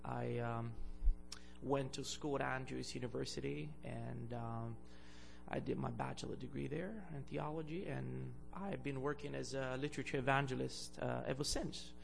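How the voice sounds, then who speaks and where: Gravelly-voiced man from Bulgaria preaching. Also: mid-range voice, fairly even intonation, moderate pace, over music. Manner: hesitant, many fillers, louder when preaching and reading scripture. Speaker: guest preacher. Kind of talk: sermon. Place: church.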